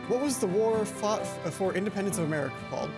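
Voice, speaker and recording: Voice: medium pitch, lively intonation, fast talker, loud music behind the voice, natural voice. A let's player voicing a composed, courteous young male tutor from a visual novel. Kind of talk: visual novel character read aloud.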